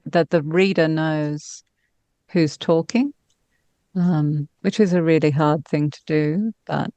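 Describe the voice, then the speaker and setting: A woman's voice: low-pitched, darkish tone, dry recording. A woman in her fifties chatting casually as an interview guest, effusive and self-deprecating about her own work as a novelist. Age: 50s.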